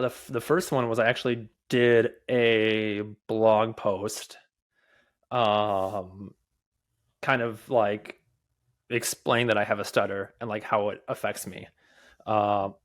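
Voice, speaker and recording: A young man who stutters, answering interview questions candidly as a podcast guest, with halting restarts, repeated words and many fillers. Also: fairly low pitch. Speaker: podcast guest, mobile developer and conference speaker.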